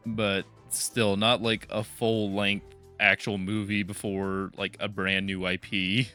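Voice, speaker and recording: A man's voice: on the low side, flat delivery, takes it slowly, bright, forceful tone, faint music behind. A man co-hosting a casual gaming podcast, making dry, sarcastic remarks. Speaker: podcast co-host.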